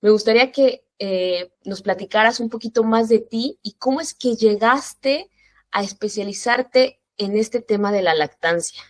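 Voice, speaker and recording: A woman's voice: fairly high pitch, a little slow, dry recording. A woman in her thirties hosting a parenting interview show, greeting families and welcoming her guest in an informal, welcoming way. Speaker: host.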